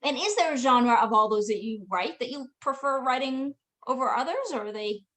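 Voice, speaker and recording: A woman author asking questions in a casual chat about writing, agreeing often with quick yeahs and letting sentences trail off softly. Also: high-pitched. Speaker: author and interviewer.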